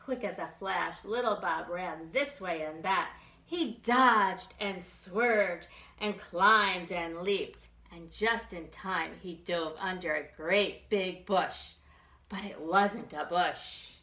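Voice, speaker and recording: A woman's voice: lowish voice, slow, bright, forceful tone. A woman librarian leading a children's storytime, reading and reciting rhymes expressively in simple phrases for small children. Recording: echoey room.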